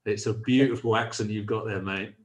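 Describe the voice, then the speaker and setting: A man's voice: fairly low pitch, fairly even intonation, fairly quick. A man co-hosting a podcast, talking in a cheery, friendly way on a video call. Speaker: podcast co-host. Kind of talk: podcast interview chat.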